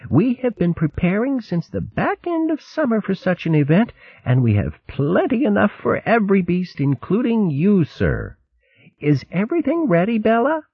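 A man voicing a kindly old female mouse abbess, gentle and dignified. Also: high-pitched, huge pitch swings, normal speed, slightly rough, no room sound, dull audio, voice pushed up, heavily acted. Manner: gentle, dignified, soft-spoken. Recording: dry, thin, band-limited recording.

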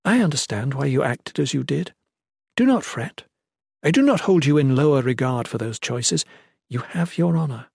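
A male reader takes on a gentle noblewoman of a fantasy audiobook, tender and sorrowful. Her speech is formal and a little hesitant, pitched well up, in a dry recording. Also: normal speed, husky, dry recording. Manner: formal, soft-hearted, hesitant.

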